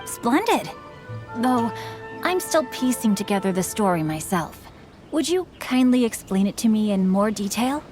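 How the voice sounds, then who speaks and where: A man's voice: high voice, sing-song, unhurried, a little mellow. A man voicing a courteous young male swordsman and novelist who speaks formally and politely, in a game. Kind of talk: game voice acting. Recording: game cutscene with music underneath. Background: game music.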